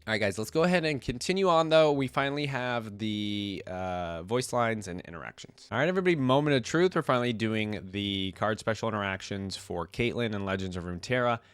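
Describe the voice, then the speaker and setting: A man's voice: lowish voice, fairly quick, few pauses, fairly bright tone, clean tone, dry recording. A man with a congested, nasal voice from a cold, reading aloud and adding casual asides as a reaction video host. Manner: informal, thinking aloud with asides. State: has a cold.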